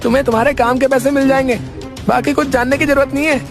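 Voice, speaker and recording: A man's voice: very high-pitched, level tone, fast, music in the background. A man voicing a vain, brash young beggar turned rich in a comedy cartoon, bossy and boastful, with a repeated verbal tic. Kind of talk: Hindi comedy cartoon story acting.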